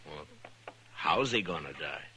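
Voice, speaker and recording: An actor playing a gruff, wry American frontier marshal with a dry manner in a radio western. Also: male voice, a bass voice, expressive, unhurried, pushed and bright, heavily acted. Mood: wry, easygoing. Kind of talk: 1950s radio western acting. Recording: vintage radio recording, faint noise.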